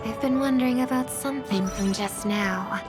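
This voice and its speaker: An actress playing a gentle young singer and devoted younger sister in a game cutscene, speaking in a soft, hushed way. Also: female voice, a little high, close to an everyday voice. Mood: hushed worry. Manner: soft-spoken, slow and hushed. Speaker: game character. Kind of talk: voiced game cutscene dialogue. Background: game music.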